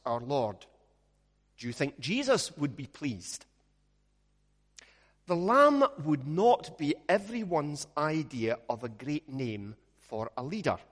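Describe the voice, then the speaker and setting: A Scottish man preaches in a slow, measured way, with a fairly high voice for a man. He weaves light humor into his stories. His voice rings out with a long reverb, as in a large church. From Scotland. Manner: measured, storytelling with gentle humor. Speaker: minister. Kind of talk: sermon with opening prayer.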